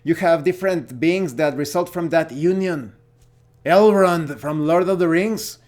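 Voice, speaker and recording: A man with a Mexican accent gives a casual opinion monologue as a gaming vlogger, speaking haltingly and searching for words. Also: fairly high pitch, a little mellow.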